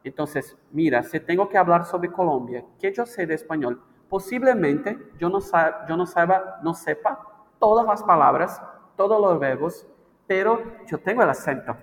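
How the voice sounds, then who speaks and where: A man's voice: high-pitched, lively intonation, moderate pace. A man, a language teacher from Brazil, chatting casually and animatedly on a podcast, playfully acting out quoted voices. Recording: long reverb, faint background noise.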